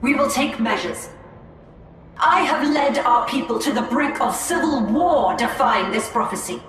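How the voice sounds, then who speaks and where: An actress playing a resolute, rebellious alien leader in a game cinematic, earnest and formal. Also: female voice, high-pitched, animated, unhurried, a bit bright. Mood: grave, determined. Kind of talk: dramatic game cinematic acting. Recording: echoey, distant, faint noise.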